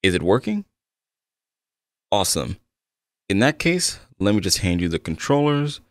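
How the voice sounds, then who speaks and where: Man acting as a deep-voiced, eager game developer. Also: very animated, moderate pace, no echo, clean studio sound. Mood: attentive, helpful. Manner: conversational, attentive, slightly awkward, apologetic. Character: eager, soft-spoken obsessive male game developer. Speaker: roleplay voice actor. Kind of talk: ASMR-style roleplay addressed to the listener.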